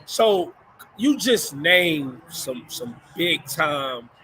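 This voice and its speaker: A man with a fairly high, bright, forceful voice hosts the chat, circling his questions with lots of fillers. Light background noise hums underneath. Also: very animated, drawn-out words, rough recording. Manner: loose, repeats "you know what I'm saying". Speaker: show host and coach. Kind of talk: casual interview questions.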